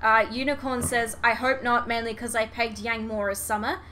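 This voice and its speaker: A woman's voice: a little high, level tone, moderate pace, pushed and bright. A woman podcast host in a casual fan discussion, giving her opinions emphatically.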